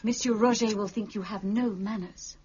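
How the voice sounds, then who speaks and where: An actress playing a patient, wry sister in a period radio drama, polite and formal as she gently chides. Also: mid-range voice, fairly even intonation, normal speed, breathy edge, highs cut off. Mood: chiding.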